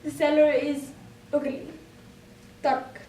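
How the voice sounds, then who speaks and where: A woman performing a dramatic monologue as a frightened, anguished young wartime girl, emotive and deliberate in delivery. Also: high-pitched, level tone, dark tone, a little hiss or hum. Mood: frightened, grim. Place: indoor room, recorded from a distance. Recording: roomy echo, distant microphone.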